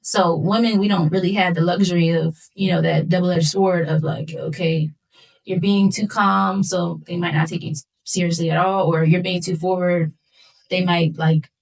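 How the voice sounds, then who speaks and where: A woman's voice: fairly low pitch, steady tone, normal speed, creaky, rough recording. An American woman in her twenties talking casually and earnestly as a guest in a podcast interview, explaining her thoughts. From Philadelphia, United States. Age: 20s. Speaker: guest, singer.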